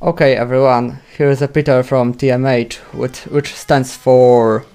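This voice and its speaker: A man with a Polish accent hosting a metal interview, native Polish, halting and searching for his English words. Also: mid-range voice, steady tone, over loud music. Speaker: interview host.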